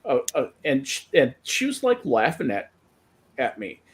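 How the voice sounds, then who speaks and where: Lively, gravelly man telling stories. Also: mid-range voice, unhurried. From Belgium. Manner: animated storyteller who stumbles on words. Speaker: podcast co-host. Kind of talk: casual chat.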